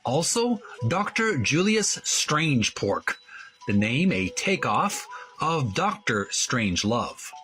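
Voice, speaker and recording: A man hosting a retro gaming channel, casually narrating a show-and-tell and often pausing mid-phrase. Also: medium pitch, fairly bright tone, low-quality recording.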